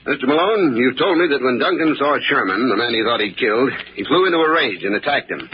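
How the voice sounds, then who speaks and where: An actor playing a skeptical police inspector in an old-time radio drama, formal, probing and brisk. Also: male voice, medium pitch, animated, natural voice. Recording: dull old radio recording, faint noise.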